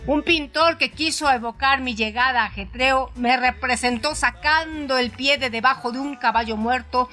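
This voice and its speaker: A woman's voice: sits high, normal speed, few pauses. A woman narrating a literary audio story in a dramatized radio storytelling style, with music behind her. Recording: soft music under the voice.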